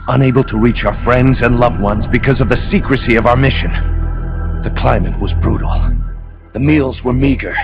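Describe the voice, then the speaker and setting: Game role for a male actor: embittered Soviet rebel soldier, impassioned and declamatory man, dull lo-fi sound over loud music. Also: mid-range voice, lightly acted. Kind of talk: video game cutscene acting.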